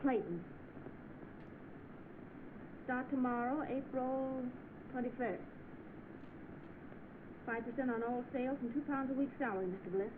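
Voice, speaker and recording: An actress playing a brisk, dry, skeptical office secretary in 1930s film style. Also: female voice, high-pitched. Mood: brisk, businesslike. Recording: noisy narrow-band old film soundtrack.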